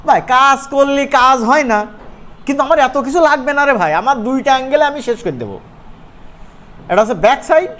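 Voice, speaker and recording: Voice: high voice, fairly bright tone. An animation course instructor with a regional Bangladeshi Bengali accent, teaching informally and often checking in with questions. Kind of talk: informal live software tutorial.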